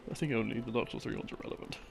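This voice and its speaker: A man telling a story in a rambling, intimate boyfriend-roleplay style, halting and musing as his thoughts trail off. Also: medium pitch, vocal fry, background noise. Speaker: audio roleplay performer playing a boyfriend. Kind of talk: rambling, improvised intimate storytelling of game lore. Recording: music behind the voice. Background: slow game theme music.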